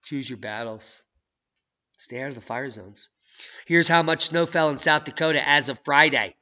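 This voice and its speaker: A man hosting a channel, reading news and weather maps with sarcastic, profane commentary that swings from low mutters to loud outbursts. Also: normal speed, dull audio. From United States. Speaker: channel host.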